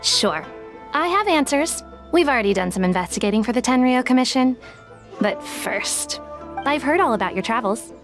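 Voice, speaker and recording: A woman's voice: on the high side, lively intonation, moderate pace. A woman voicing a confident, shrewd detective who bargains and tells an old tale in a video game. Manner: confident, shrewd dealmaker; storyteller. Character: shrewd, businesslike female detective. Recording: game audio with loud music behind.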